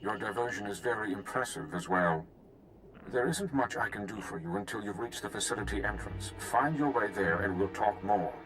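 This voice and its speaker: A man's voice: on the low side, fairly bright tone, reverberant, faint music behind, lightly acted, rough recording. A man voicing a blunt, free-willed medical droid in a video game, speaking formally and plainly. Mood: composed. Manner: formal, plain-spoken, measured. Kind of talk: video game cutscene acting.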